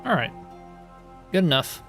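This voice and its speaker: A man's voice: a little high, unhurried, vocal fry, faint music behind. Laid-back male game streamer. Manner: relaxed, rambling, reads chat aloud, frequent likes and you-knows. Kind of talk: casual live-stream chat while building in a game.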